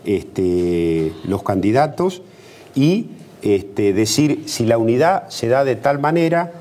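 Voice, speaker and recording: A man's voice: medium pitch, very animated, takes it slowly, warm tone. A middle-aged Argentine man, a politician being interviewed on TV, arguing emphatically with rhetorical questions and frequent restarts. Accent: Argentine Spanish. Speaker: politician guest.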